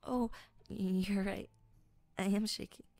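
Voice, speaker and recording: A young woman voicing a timid, easily scared girl in an audio roleplay, speaking softly and hesitantly, stammering and often whispering. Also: mid-range voice, steady tone, slow, no room sound. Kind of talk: audio roleplay addressed to the listener.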